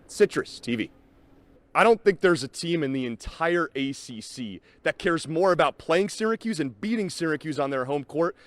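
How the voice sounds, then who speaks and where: A man working as a sports announcer and reporter, delivering highlights and reports in a punchy, emphatic broadcast style.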